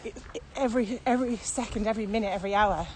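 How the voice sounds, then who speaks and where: A woman talks casually as a podcast co-host, in a conversational style. Her voice sits at an average pitch, with some ambient noise faintly behind it. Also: racing, rough recording. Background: steady ambient noise.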